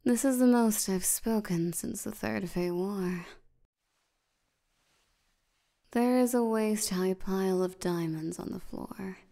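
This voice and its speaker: A woman voicing a tired, languid sloth demon in an intimate ASMR roleplay, soft and often nearly whispering, with a drowsy deadpan delivery. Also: mid-range voice, a little slow. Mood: sleepy, bargaining. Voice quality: soft, creaky, often breathy near-whisper.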